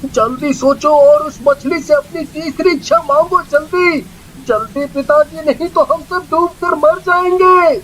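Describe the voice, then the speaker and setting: Casting: woman as earnest cartoon child, high and unhurried, pleading delivery, faint noisy ambience behind. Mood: alarmed pleading. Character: earnest, sensible child of a poor fisherman. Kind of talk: Hindi children's cartoon story dub.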